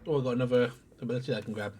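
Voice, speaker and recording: A man's voice: medium pitch, fairly even intonation, racing, a little mellow, soft background music. A man giving casual live game commentary as a streamer, with a dry, self-deprecating, sweary manner.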